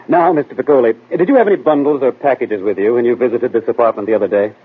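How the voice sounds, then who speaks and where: Man acting a fast-talking radio detective, tinny recording. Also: medium pitch, husky, natural voice. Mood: probing, wry. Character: brisk, wry amateur detective, quizzing suspects. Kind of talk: 1940s radio drama acting. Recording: noisy, tinny old radio broadcast.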